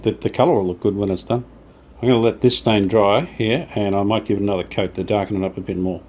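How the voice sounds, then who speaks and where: Guy doing a hands-on diy vlog, lowish mellow voice, kinda tentative, noisy background w/ rubbing and sanding. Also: steady tone, fast. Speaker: host of a hobby radio restoration channel. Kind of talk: casual hands-on workshop narration while working. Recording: clear background noise, sanding and rubbing sounds. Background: rubbing and sanding while working.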